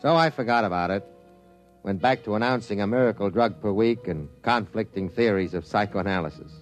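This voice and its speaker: A man's voice: lowish voice, fairly quick, soft background music, lightly acted. An actor playing a wry, worldly male science reporter, with dry delivery in 1950s radio drama style. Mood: wry narrating.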